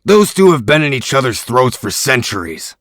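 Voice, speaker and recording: A man's voice: mid-range voice, sing-song, normal speed, a bit bright, dry recording. Man playing a cynical, grumbling tough guy, dry sound. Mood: irritated, sardonic. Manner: sardonic, grumbling. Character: gruff, cynical, irritable male detective type. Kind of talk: comic dub acting.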